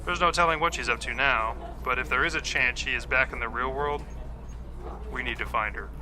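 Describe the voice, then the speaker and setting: A man's voice: medium pitch, brisk, natural voice. A man playing a stuffy, formal agent who briefs in dry, precise language in an audio drama, with music behind.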